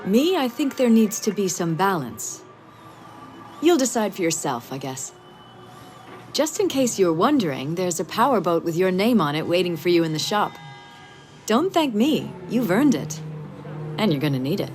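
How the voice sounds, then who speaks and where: An actress playing a confident, brisk racing team boss in a video game, self-assured and wry, with a mentoring manner. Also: female voice, lowish voice, big pitch swings, darkish tone, soft background music. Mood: informative, wry. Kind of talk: video game cutscene acting.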